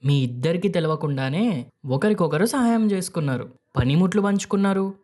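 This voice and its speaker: A man's voice: high voice, lively intonation, a little fast, a little mellow. A man voicing an ageing farmer father with a Telangana accent, firm and affectionate, admonishing his sons in a moral story. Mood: gently chiding. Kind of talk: acted dialogue in a moral story.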